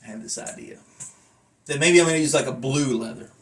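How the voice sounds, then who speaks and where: A man talks through an item from his collection in a casual, unscripted way. He thinks aloud as he goes, rambling into side remarks. His voice sits at an ordinary middle pitch for a man. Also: a little fast, fairly bright tone. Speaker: collector reviewing a wrestling belt. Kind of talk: casual unscripted product review.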